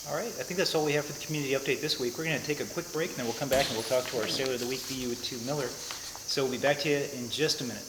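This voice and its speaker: A man hosting a military base news show, reading updates and interviewing a guest in a relaxed, friendly way. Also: medium pitch, very fast, roomy sound, low-quality recording. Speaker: show host.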